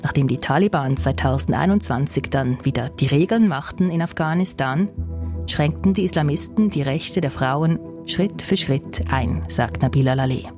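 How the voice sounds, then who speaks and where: Low-voiced woman hosting a news podcast. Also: moderate pace, music in the background. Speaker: podcast host. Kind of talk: scripted news narration.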